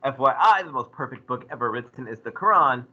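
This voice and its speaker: Animated male teacher lecturing a class. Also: medium pitch, fairly quick, narrow, tinny audio. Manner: animated, asks the class questions.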